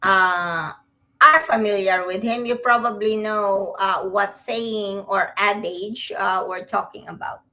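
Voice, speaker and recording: A woman from the Philippines co-hosting a live stream, speaking with many pauses and fillers. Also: medium pitch, steady tone, bright, forceful tone, rough recording. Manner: hesitant, many fillers and restarts. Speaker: co-host. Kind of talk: casual live-stream chat.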